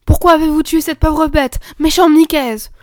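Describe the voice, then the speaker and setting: A woman's voice: high voice, fairly even intonation, moderate pace, darkish tone, dry recording, studio quality, lightly acted. A woman voicing a tender-hearted little girl in a storybook, indignant and pleading.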